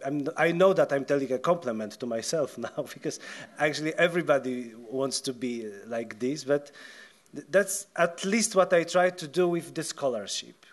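A man with a Polish accent talks on a theatre stage into a table mic, with a fairly high voice for a man. He stumbles and restarts often and gestures as he goes. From Poland. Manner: halting, many restarts and stammered words, gestures while talking. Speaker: guest journalist. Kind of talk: onstage festival conversation. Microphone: table mic on a stand.

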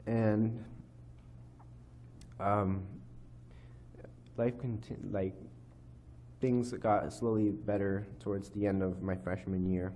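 A teenage boy giving a personal testimony at a church lectern, speaking haltingly with many fillers and restarts. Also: lowish voice, level tone, dark tone, a little hiss or hum. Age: teens. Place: church hall, indoors. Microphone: microphone on a lectern stand.

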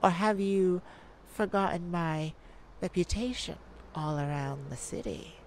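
A woman playing a cocky, flirtatious master thief in an ASMR romance roleplay, with teasing, drawn-out phrasing and dramatic pauses. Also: lowish voice, vocal fry, slight background noise. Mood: teasing, boastful.

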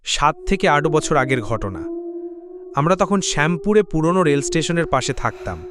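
A man narrating a cartoon ghost story in a plain, steady first-person telling. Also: on the high side, moderate pace, heavy background noise.

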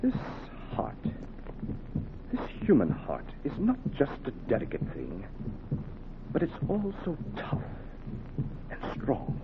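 A man's voice: medium pitch, wildly swooping pitch, full character voice. An actor playing a dedicated, intense heart surgeon teaching his assistant, in dramatic, emphatic 1940s radio style. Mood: intense, instructing. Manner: dramatic, emphatic, deliberate phrasing. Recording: noisy, narrow-band 1940s radio recording.

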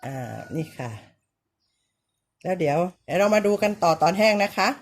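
A woman, called auntie by herself, giving a casual home demonstration, speaking politely and repeating short pointing phrases with soft polite endings. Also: on the low side, lively intonation, moderate pace. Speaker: vlogger showing how to clean banknotes.

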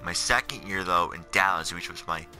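A man's voice: sits low, normal speed, pushed and bright. A tired young man in his late teens giving rambling, casual commentary on a video game. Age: late teens, seventeen. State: tired, up in the middle of the night. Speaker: video gamer narrating his career mode. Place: voice-over on game menu footage. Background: music.

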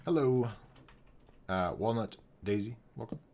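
A man's voice: mid-range voice, lively intonation, measured pace, a little mellow, a little hiss or hum. A young man streaming a building game from home, thinking aloud as he plans rooms and greets his chat, his voice wearing out. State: losing his voice. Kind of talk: live gameplay commentary.

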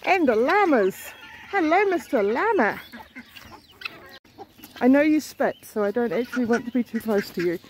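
A woman's voice: fairly high pitch, expressive, normal speed, long pauses. A middle-aged woman, chatting playfully and casually for a travel vlog. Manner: chatty, playful, talks affectionately to animals. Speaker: travel vlogger. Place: outdoors at a farm park and roadside. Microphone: handheld camera at arm's length. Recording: outdoor ambient noise. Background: birds, roosters and outdoor ambience.

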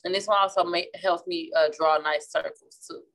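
Woman, 30s, Midwestern accent, relaxed and chatty craft instructor, often restarts mid-sentence. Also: on the low side, moderate pace, poor audio. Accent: Midwestern American. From St. Louis, Missouri. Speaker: florist and class instructor. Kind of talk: casual demonstration while painting. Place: indoor flower shop worktable.

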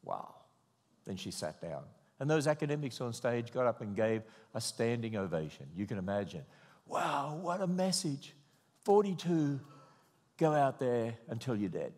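A man's voice: mid-range voice, moderate pace. An older man with an Australian accent, telling a wry story and preaching at a church conference from a podium. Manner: wry, animated storyteller, mock-quoting others in raised voice. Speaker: conference speaker. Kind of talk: sermon with storytelling. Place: large church auditorium. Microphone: podium microphone. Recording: long hall reverb.

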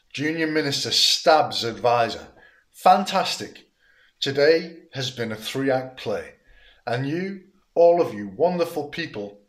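Scheming opposition strategist, played by a man: smooth, mid-range, grand speech-maker. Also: mid-range voice, close to an everyday voice. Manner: smooth, theatrical, speech-making.

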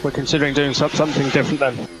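A man speaks as a race engineer over team radio with a mid-range voice. His delivery is clipped and calm, and he repeats his instructions. Clear car noise runs behind the transmission. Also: mid-range voice, very fast. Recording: noisy motor racing radio, engine noise.

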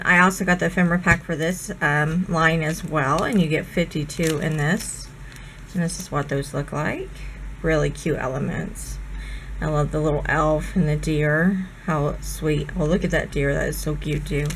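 A woman's voice: low voice, gravelly, fairly bright tone, slight background noise, low-quality recording. A woman losing her voice, chatting and gushing over craft supplies in a casual haul video at her desk. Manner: gushing, chatty, many ums. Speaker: crafting vlogger.

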